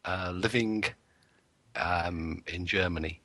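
A man's voice: low-pitched, slow, pushed and bright, dry recording. A man from Wales co-hosting a radio show, teasing with dry wit. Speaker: co-host. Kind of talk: jokey radio show chat.

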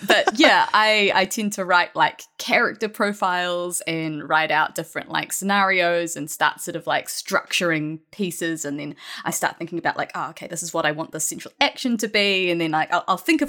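A woman's voice: lowish voice, sharp tone. A woman talking casually as a writer guest on a podcast, rambling and correcting herself as she goes. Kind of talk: casual panel chat.

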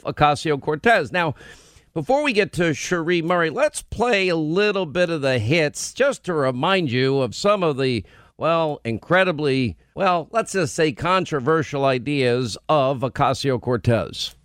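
A man's voice: on the high side, measured pace, no room sound, clean studio sound. A man hosting a talk radio show, talking in a mocking, incredulous way. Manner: mocking, incredulous, animated when quoting. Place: radio studio.

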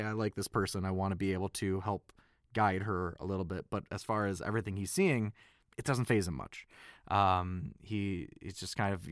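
A man talking casually in an interview about his acting, halting and rambling, with a self-deprecating way. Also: fairly low pitch, dry recording, studio quality. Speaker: voice actor, panel guest. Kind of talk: casual behind-the-scenes interview.

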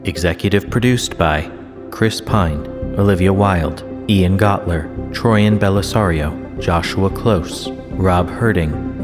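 A man reading scripted credits as the announcer of an audio production. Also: low-pitched. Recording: long reverb, music under the voice.

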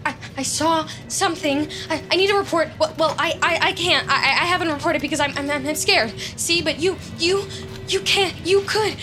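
A woman's voice: high-pitched, moderate pace, background noise, natural voice. An actress playing an anxious, obsessive young woman who talks in a nervous, stammering way in an audio drama. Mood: panicked, frightened. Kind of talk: audio fiction acting.

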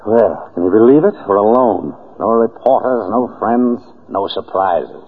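A shrewd, husky radio detective. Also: male voice, lowish voice, lively intonation, measured pace. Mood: wry, affectionate. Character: shrewd married male detective, probing and wryly affectionate. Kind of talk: 1940s radio acting. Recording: dull old radio broadcast recording.

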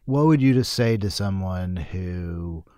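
A woman's voice: very deep voice, expressive, a little slow, dark tone, no room sound, clean studio sound. A woman interviewing a guest in a casual, conversational style.

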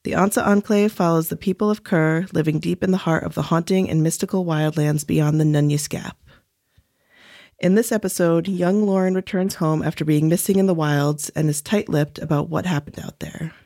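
A woman announcer with a fairly low voice reads scripted podcast copy. The sound is very dry, with no room to it. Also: darkish tone, no room sound.

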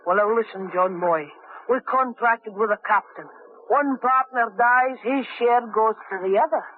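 An actor playing a coaxing, scheming sailor in a 1950s radio drama, heard through a tinny old broadcast. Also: male voice, high-pitched, moderate pace, slight background noise, lightly acted. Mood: sly. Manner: coaxing, sly, keeps calling his partner "John boy". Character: scheming wheedling sailor plotting murder, a grown man.

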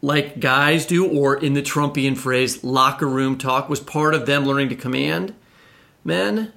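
A man's voice: mid-range voice, a little slow, gravelly, sharp tone. A man co-hosting a podcast, a novelist, asking long, conversational, winding questions. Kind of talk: podcast interview.

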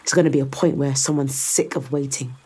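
A woman's voice: very deep voice, fairly even intonation, brisk, warm tone, breathy edge. A woman playing a powerful, calculating executive in a science fiction audio drama, sardonic and controlled as she persuades and explains. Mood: grave, matter-of-fact.

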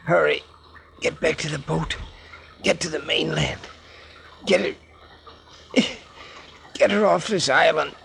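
An actor playing a dying wounded soldier in a 1940s radio drama, whispering his words out in halting, breathless pieces. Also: on the high side, gravelly, fairly bright tone, slight background noise, full character voice. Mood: gasping urgency.

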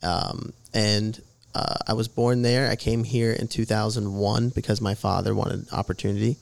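This is a young man in his twenties, originally from Jordan, talking as a guest on a podcast. His voice sits low and carries a gravelly, creaky edge. He rambles casually, stringing thoughts together with plenty of fillers. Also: level tone, normal speed. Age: 20s. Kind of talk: casual interview chat.

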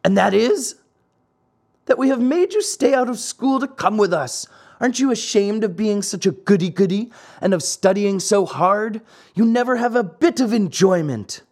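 A man's voice: high voice, expressive, normal speed, darkish tone, voice pushed up. A man voicing sneering, bullying schoolboys in a storybook, jeering and scolding. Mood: teasing. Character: gang of sneering, bullying schoolboys, threatening and teasing.

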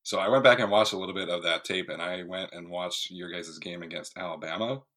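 A man's voice: deep voice, steady tone, fairly quick, fairly bright tone. A man working as a podcast interviewer, asking questions and commenting in a casual sports-talk style.

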